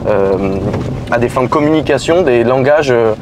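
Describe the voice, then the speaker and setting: A man's voice: mid-range voice, lively intonation. Guy interviewing someone in his car, lots of ums, bit teasing, street noise under it. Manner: halting, many fillers, teasing. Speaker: interviewer and show host. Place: inside a car on city streets.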